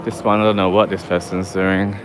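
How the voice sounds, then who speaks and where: A man's voice: sits low, little pitch movement, brisk. A man walking city streets at night, chatting wryly to his livestream viewers. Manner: chatty, wry, talks to live chat. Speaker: livestream vlogger. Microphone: handheld phone or camera mic while walking. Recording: loud street noise behind. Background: traffic and street ambience.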